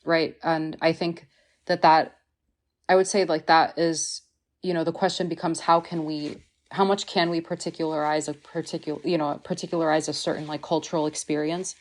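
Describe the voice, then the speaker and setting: A woman's voice: sits low, little pitch movement, moderate pace. A woman, a researcher guesting on a podcast, speaking in an earnest, reflective way, full of "like" and "you know". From Missouri, United States. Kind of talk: podcast discussion.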